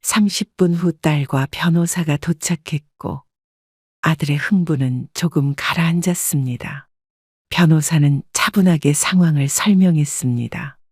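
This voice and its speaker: Casting: woman narrator, calm scripted storytelling, dry close sound. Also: sits low, unhurried, slightly rough, no room sound.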